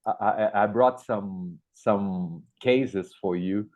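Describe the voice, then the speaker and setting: A man's voice: on the low side, takes it slowly, darkish tone. A middle-aged Brazilian man with a Portuguese-speaker's accent, giving an informal conference talk and hesitantly repeating words as he thinks. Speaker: guest speaker, media educator. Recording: thin, phone-like online call audio.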